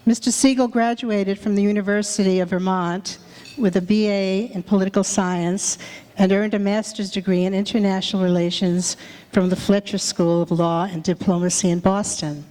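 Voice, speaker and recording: A woman's voice: mid-range voice, fairly even intonation, moderate pace, warm tone. A woman reads a formal speaker introduction at a gala, stumbling now and then. Her voice echoes through a large banquet hall. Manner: reads a scripted introduction, trips over words. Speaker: event emcee.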